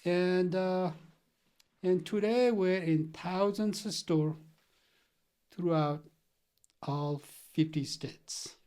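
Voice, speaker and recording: Man, middle-aged, raised pitch, earnest, chuckles, interview guest. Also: extremely slow, pauses often, darkish tone, clear, resonant tone. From Nepal. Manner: earnest, chuckles often, simple phrasing. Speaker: company founder guest. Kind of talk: casual interview storytelling.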